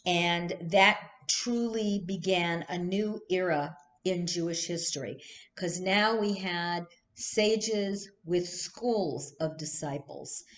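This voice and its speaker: Woman, teacher, measured and unhurried, thoughtful with many ums, muffled recording. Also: fairly low pitch. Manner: slow, thoughtful, with frequent ums and restarts. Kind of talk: online text study lesson.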